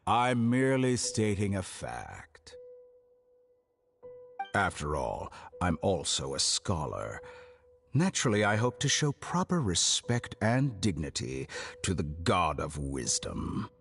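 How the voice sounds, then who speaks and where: An actor playing an arrogant, calculating scholar villain in a video game, speaking in a deliberate, condescending, mock-polite way. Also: male voice, sits low, huge pitch swings, vocal fry, a bit bright, faint music behind, full character voice. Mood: amused, menacing.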